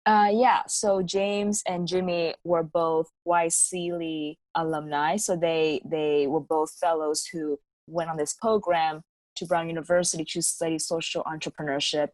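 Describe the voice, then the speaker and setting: A woman's voice: low voice, flat delivery, a little slow, darkish tone, no echo. A woman student and co-founder explaining how her youth network started, in a casual, explanatory way. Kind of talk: panel conversation.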